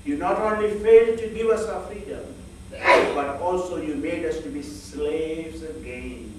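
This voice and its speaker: A man's voice: fairly high pitch, distant mic, heavy background noise. Earnest man preaching in an echoey hall. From northern Sri Lanka. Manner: earnest, measured, rhetorical questions. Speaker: speaker at a church fellowship. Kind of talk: sermon.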